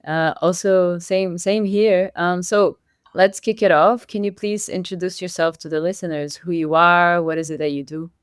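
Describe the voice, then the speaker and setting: A woman's voice: lowish voice, fairly even intonation, moderate pace, smooth, no room sound. Woman podcast host, dry close sound. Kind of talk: podcast hosting and interviewing.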